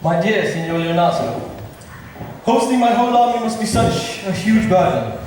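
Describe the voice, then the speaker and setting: A man's voice: high voice, moderate pace, crowd noise, close to an everyday voice. A man playing a jovial, gracious prince in an amateur stage comedy, with a hearty, declamatory delivery. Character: jovial, gracious visiting prince, male army leader. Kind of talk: amateur stage acting of modernised Shakespeare comedy. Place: large hall, distant microphone at a stage play. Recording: echoey hall from far off, faint noise.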